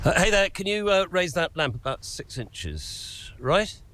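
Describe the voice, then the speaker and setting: An actor playing a smooth, flattering middle-aged father who funds a racing team in a video game, persuasive and self-assured. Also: high-pitched, a little slow, heavily acted. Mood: breezy. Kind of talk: video game cutscene acting.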